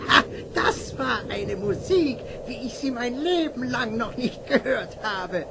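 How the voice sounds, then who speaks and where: A man's voice: very high voice, expressive, slow, heavily acted. An actor playing a merry old townsman who rambles and exclaims in a fairy-tale radio play. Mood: merry, rambling. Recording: very noisy old audio-play recording.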